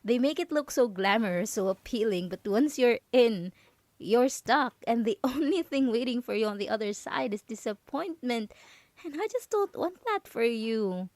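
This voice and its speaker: A woman's voice: fairly high pitch, darkish tone, no echo, lightly acted. A woman playing a possessive, overprotective roommate in an ASMR roleplay, stammering nervously as she pleads and coaxes. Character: possessive, overprotective yandere roommate, clingy and manipulative.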